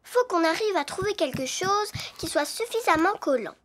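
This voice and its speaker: Cartoon girl elephant: bold, bossy, very high, swooping delivery. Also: animated, moderate pace, a bit bright. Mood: bossy, confident. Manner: confident, emphatic, exclamatory. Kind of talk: animated children's cartoon acting.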